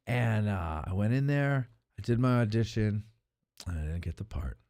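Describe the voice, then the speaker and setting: A man's voice: fairly low pitch, lively intonation, moderate pace, darkish tone, no room sound, clean studio sound. A man hosting a podcast in casual chat, with a joking, teasing manner. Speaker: podcast host.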